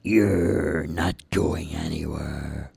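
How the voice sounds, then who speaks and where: A woman voicing a mocking dark creature that sneers and slowly drawls. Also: extremely low pitch, creaky, slightly rough, dry recording, clean studio sound, voice pushed down, full character voice. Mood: sneering.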